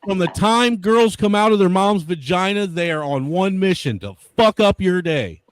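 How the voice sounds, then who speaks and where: A man's voice: sits high, animated, moderate pace, smooth. A man in his forties hosting a live stream, ranting crudely and swearing constantly in casual chat. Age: 40s. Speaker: show host.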